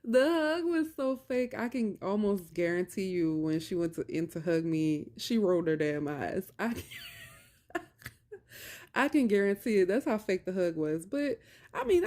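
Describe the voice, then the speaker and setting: Low-pitched woman telling stories casually. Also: moderate pace. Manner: emphatic, animated, repeats phrases for stress; conversational, colloquial phrasing. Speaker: video creator talking to viewers about narcissistic abuse. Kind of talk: casual personal storytelling to viewers.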